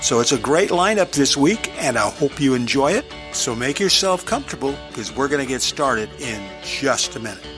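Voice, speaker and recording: A man's voice: medium pitch, big pitch swings, normal speed, few pauses, over loud music. A man speaking casually as a podcast host, introducing what is coming up in an informal way.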